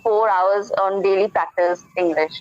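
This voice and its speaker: A woman's voice: medium pitch, steady tone, moderate pace, no echo. Young Indian woman on a dull, lo-fi call. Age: young adult. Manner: polite, eager, hesitant, frequent fillers. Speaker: student. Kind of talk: conversation practice. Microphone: video call.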